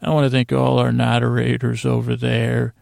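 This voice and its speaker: A man hosting a sleep podcast in a rambling bedtime monologue, halting and meandering, repeating himself and trailing off mid-thought. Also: medium pitch, completely flat delivery, vocal fry, a little mellow, no room sound, clean studio sound.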